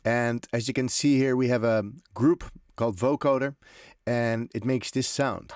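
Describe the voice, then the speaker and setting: A man's voice: mid-range voice, steady tone, a little slow, a little mellow, clear, resonant tone, dry recording. A man hosting a music production tutorial, explaining informally as he demonstrates, in loose, conversational and often hesitant phrasing. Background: electronic drum and synth loops.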